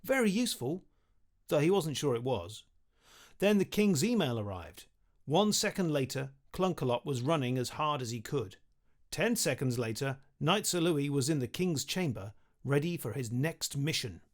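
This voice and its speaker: A man's voice: medium pitch, expressive, moderate pace. A British man, around his thirties, reading a children's story expressively into a close studio microphone. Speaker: author reading his book. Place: small home studio room, indoors. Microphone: studio condenser with pop filter, close.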